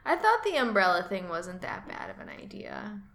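A woman co-hosts a podcast in easy, relaxed conversation. Her voice sits in the middle of the range, and she laughs often between her thoughts. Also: medium pitch, normal speed. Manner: laughs easily, chatty. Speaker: podcast co-host. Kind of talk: casual chat.

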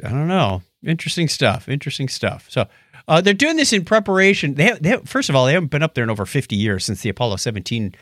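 A man's voice: fairly high pitch, very animated, quick pace, a bit bright, no echo, clean studio sound. A man co-hosting a podcast, chatting casually through science news with jokey, wry asides. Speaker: podcast co-host. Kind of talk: casual science news chat, paraphrasing articles.

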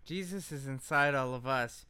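A man's voice: mid-range voice, normal speed, pushed and bright, clear, resonant tone, dry recording. A man co-hosting a podcast with casual comedic banter, swearing freely and stressing his words like a rant. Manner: profane, emphatic, ranting delivery. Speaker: podcast co-host.

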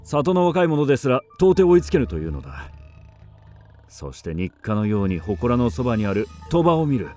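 Man playing rough warrior, wary, coarse-tongued, swooping intonation, period radio drama, faint music bed. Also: medium pitch, very animated, normal speed, dark tone, lightly acted. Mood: grave, hushed. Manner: coarse rustic male speech, blunt. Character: rough, wary, superstitious warrior of old Japan.

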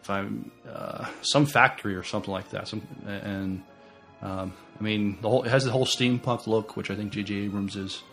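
A man's voice: lowish voice, fairly even intonation, brisk, a bit bright, soft background music. A man co-hosting a podcast in casual chat, hesitant and repeating words as he forms his opinions. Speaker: podcast co-host.

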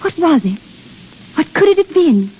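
A woman's voice: sits high, sing-song, moderate pace. A woman playing a dreamy, entranced young girl in 1930s radio drama style. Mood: awed. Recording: muffled, noisy 1930s radio broadcast.

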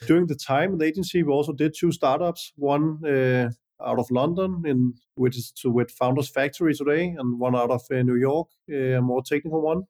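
A man's voice: mid-range voice, darkish tone. Danish man answering, hesitant. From Denmark. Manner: measured, many "uh" and "like" hesitations. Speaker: guest entrepreneur. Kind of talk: casual interview answers.

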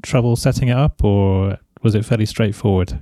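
A man's voice: fairly low pitch, fairly even intonation, normal speed, warm tone, no echo, clean studio sound. A man hosting a podcast, asking questions in a conversational interview style.

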